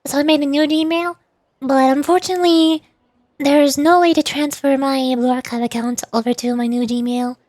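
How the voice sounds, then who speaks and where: High-pitched woman streaming a game. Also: steady tone, moderate pace, a little mellow, no echo. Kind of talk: casual livestream commentary with read-aloud game dialogue. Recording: game music behind. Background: faint game music.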